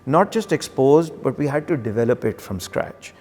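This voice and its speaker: A man's voice: medium pitch, animated, normal speed, darkish tone, big-room sound. A middle-aged man with a Pakistani accent, speaking conversationally as he reflects and tells his story in an interview. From Karachi, Pakistan. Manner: conversational, occasional Urdu phrases. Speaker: banker, interview guest. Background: background music.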